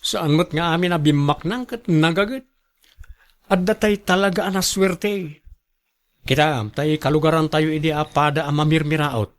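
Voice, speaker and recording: A man's voice: on the high side, moderate pace, close to an everyday voice. A man with an Ilocano accent playing a lazy, dreamy husband who stubbornly boasts of coming riches in a radio drama. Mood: boastful, hopeful.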